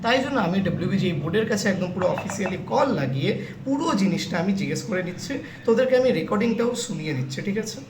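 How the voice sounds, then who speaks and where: A young host with a Bengali accent speaks very quickly and insistently, addressing students casually. The voice rings in an echoey room, with clear noise behind it. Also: mid-range voice, expressive. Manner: insistent, repeatedly checking with "okay?". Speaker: YouTube host giving exam counselling updates.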